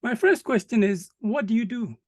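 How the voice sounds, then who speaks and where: A man hosting an interview, asking short questions calmly and acknowledging answers with frequent murmurs. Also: extremely high pitch, moderate pace, dry recording, studio quality. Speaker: interview host.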